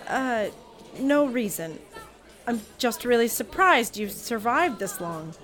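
Woman doing a sharp-tongued schemer, kinda high voice, music under it. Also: expressive, takes it slowly, some chatter behind. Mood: curt. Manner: brusque and impatient. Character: curt, scheming young woman on the run.

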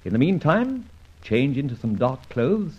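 An actor playing a shrewd, well-spoken gentleman adventurer in an old-time radio serial, clipped and giving orders with old-fashioned slang. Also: medium pitch, very animated, unhurried, breathy edge. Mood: brisk, assured. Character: shrewd, commanding gentleman adventurer, brisk male leader. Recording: muffled, noisy old radio recording.

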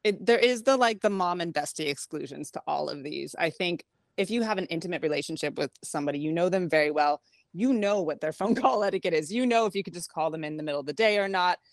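A woman's voice: lowish voice, fast, dry recording, studio quality. Wry, chatty woman reporter. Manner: chatty, wry, laughs easily. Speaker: technology reporter, guest. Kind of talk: radio interview.

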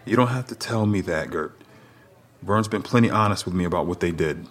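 A man playing a calm, protective eldest brother in a naturalistic audio drama. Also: low-pitched, brisk. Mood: reassuring. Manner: even-tempered, reassuring.

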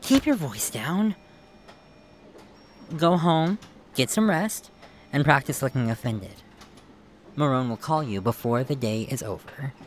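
A calculating female mentor, coaxing and controlled. Her voice is strikingly low but swings widely in pitch, in an audio drama with some noise and ambience behind it. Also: expressive, measured pace, long pauses. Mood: scheming. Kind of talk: scripted audio drama acting. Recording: clear background noise and ambience.

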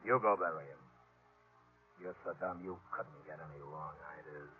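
An actor playing a bossy, menacing gang leader in a 1950s radio western, curt and scornful. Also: male voice, a bass voice, moderate pace, faint music behind. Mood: scornful, irritated. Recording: muffled old 1950s radio recording.

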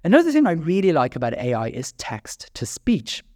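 A man's voice: a little high, wildly swooping pitch, normal speed, darkish tone, no echo, studio quality. A man with an Irish accent hosting a solo podcast, chatty and self-deprecating, with frequent fillers and restarts. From Ireland. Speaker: podcast host.

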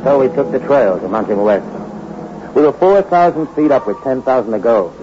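A man's voice: mid-range voice, animated, moderate pace, very noisy, lightly acted. An actor playing a tough, greedy fugitive who tells his crime tale in a hard-boiled, slangy 1960s radio suspense style. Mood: wry, wary. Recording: dull old radio recording with music.

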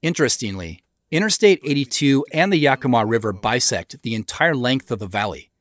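A man giving scripted director's commentary in a measured, explanatory manner into a close mic. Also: medium pitch, animated. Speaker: show creator and commentator.

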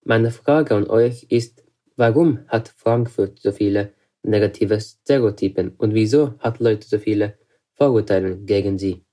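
A man's voice: fairly low pitch, a little slow, mellow. A man from Norway, a German speaker, leaving a prepared audio question as a listener. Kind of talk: prepared voice message.

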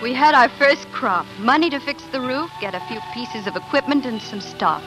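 Actress as earnest young pioneer wife over music. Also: female voice, a little high, lively intonation, normal speed, lightly acted, rough recording. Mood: proud, reflective. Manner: earnest, dramatic storytelling. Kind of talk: 1940s radio drama narration and acting. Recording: 1940s radio recording with music.

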